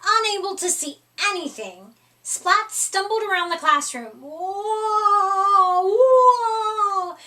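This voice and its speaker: A woman reading a children's picture book aloud as expressive storytime narration, recorded a little way from the microphone. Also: very high-pitched, unhurried, few pauses, recorded from a distance. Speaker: storytime reader.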